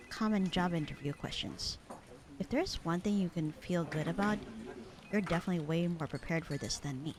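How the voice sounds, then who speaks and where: A bored secretary acted by a woman speaks in a fairly low voice over a clear murmur of people. She is deadpan and sarcastic, with a slightly flirty edge and casual, slangy phrasing. It plays as a conversational roleplay audio drama. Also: lightly acted. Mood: unimpressed, sarcastic. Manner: deadpan, slangy, unbothered drawl.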